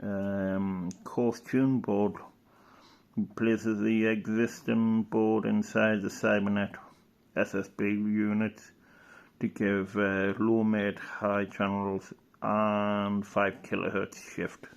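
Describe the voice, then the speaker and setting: A man with a slightly deep, noticeably creaky voice talks casually as he browses and reads from a catalogue. He rambles and hesitates, thinking aloud between items. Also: level tone, takes it slowly. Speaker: video presenter. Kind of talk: casual commentary while reading through an old catalogue.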